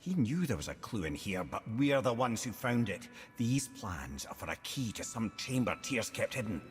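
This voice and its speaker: An actor playing a wry, learned old advisor in a video game, with a Scottish accent and a wordy, musing, theatrical way of talking. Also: male voice, on the low side, sing-song, moderate pace. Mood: delighted, hopeful. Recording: long reverb, music underneath. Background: music.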